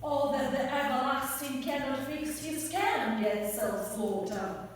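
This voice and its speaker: A woman playing an earnest, naive foreign amateur player reciting a young prince's part in a comic stage radio play, with deliberate delivery. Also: high voice. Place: theatre hall, live performance. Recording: very echoey hall, distant mic, hiss.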